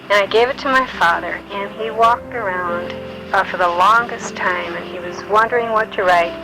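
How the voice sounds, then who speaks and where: An elderly woman from Illinois in her nineties, gently recalling her life in an unhurried way, often pausing with um and uh. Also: mid-range voice, music in the background, low-quality recording. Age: older. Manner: gentle, unhurried storytelling with many ums and uhs. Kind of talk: reminiscing, answering memory prompts.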